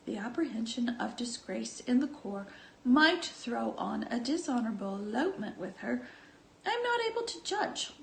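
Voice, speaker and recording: A woman's voice: fairly high pitch, creaky. A woman reading a classic novel aloud, acting out its dialogue as an amateur narrator. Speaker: volunteer audiobook reader. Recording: echoey room with faint background noise.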